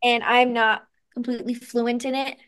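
A young woman with a fairly high voice chats in a relaxed, upbeat way. She talks as a guest singer on an interview show, with plenty of "um"s and false starts. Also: normal speed, clean tone. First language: English. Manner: chatty, upbeat, with frequent fillers and restarts. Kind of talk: casual interview chat.

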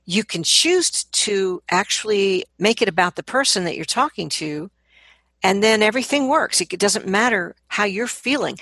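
A woman's voice: mid-range voice, a bit bright, breathy edge, no echo. A woman speaking as a vocal coach in a conversational interview, explaining her points with emphasis and repeating words to drive them home.

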